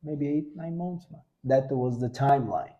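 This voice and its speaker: Sicilian man, non-native English, casual co-host chat, warm low tone. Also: highs cut off. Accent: Italian. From Sicily, Italy. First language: Italian. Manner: halting, searching for English words.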